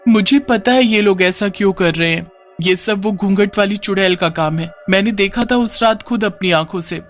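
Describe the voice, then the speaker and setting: A man's voice: high voice, moderate pace, faint music behind, natural voice. A man voicing a brave but anxious young village woman with an earnest, urgent manner in a cartoon moral story. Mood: determined.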